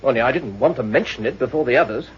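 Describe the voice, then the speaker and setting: An actor playing an uneasy, inquisitive, deferential gentleman in an old-time radio mystery. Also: mid-range voice, animated, fast talker, slightly rough. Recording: dull, muffled old radio recording.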